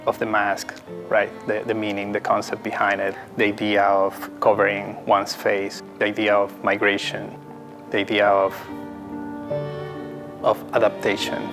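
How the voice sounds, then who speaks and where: A deep-voiced man from Ecuador speaks in English. His voice has a gravelly edge and stays in a narrow, flat range. He talks reflectively about his art and often restarts his sentences, with guitar music playing behind him. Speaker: visual artist. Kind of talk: documentary interview.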